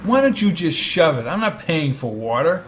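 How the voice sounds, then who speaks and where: Guy reading brash impatient story character, gruff and outraged, higher male voice, noisy audio. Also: big pitch swings, normal speed, a bit bright. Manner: gruff, indignant, demanding. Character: brash, impatient, outraged man named Brady.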